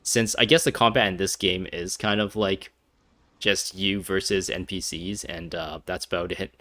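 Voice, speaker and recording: A man's voice: low voice, steady tone, normal speed, sharp tone, no echo. A man giving casual, rambling playthrough commentary as he streams a game, often chuckling as he talks. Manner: rambling, laughs often while talking. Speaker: game streamer playing through a story quest.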